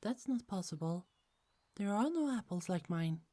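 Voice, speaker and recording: A woman voicing a gentle young goddess who keeps golden apples, speaking in a soft, earnest way that hesitates a little. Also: mid-range voice, lively intonation, moderate pace, close to an everyday voice. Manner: soft, earnest and a bit hesitant, with small stumbles. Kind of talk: ASMR audio roleplay.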